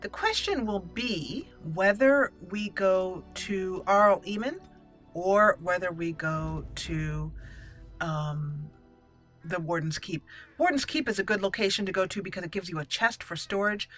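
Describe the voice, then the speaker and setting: A woman's voice: medium pitch, measured pace. A woman giving casual let's play commentary as the channel's host, chatty and thinking aloud. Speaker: host of a game roleplay channel. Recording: voice over soft game music. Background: game music.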